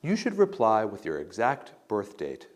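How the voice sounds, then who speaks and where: A man narrating an English lesson, reading out model answers slowly and deliberately. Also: on the low side, wildly swooping pitch. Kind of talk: slow instructional model answers.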